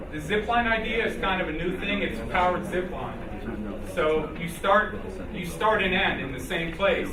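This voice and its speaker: A man presenting a development project at a civic meeting, informal and halting with restarts. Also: on the high side. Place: meeting hall. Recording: echoey hall, far from mic, noisy.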